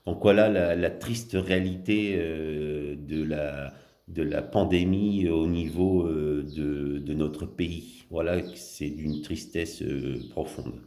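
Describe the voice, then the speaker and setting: A man giving casual spoken commentary in a vlog, halting and blunt, with many fillers and restarts. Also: very deep voice, fairly even intonation, warm tone. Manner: indignant, blunt. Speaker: vlogger.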